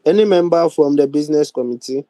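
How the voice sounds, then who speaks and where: A young man with a Ghanaian accent and a slightly high, mellow voice presides over a formal meeting on a video call. He speaks deliberately, stopping often between short phrases. The sound is dry and close. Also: no room sound. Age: young adult. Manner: deliberate, halting, with many pauses. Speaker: speaker of a youth parliament. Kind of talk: formal chairing of a virtual meeting.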